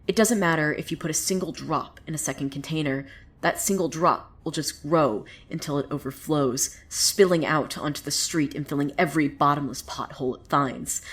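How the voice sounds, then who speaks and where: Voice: medium pitch, close to an everyday voice. A woman playing a wry, theatrical narrator of a surreal small town in a scripted audio drama, telling the tale dramatically. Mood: ominous. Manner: theatrical, swinging from hushed to excited. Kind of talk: dramatic scripted storytelling monologue.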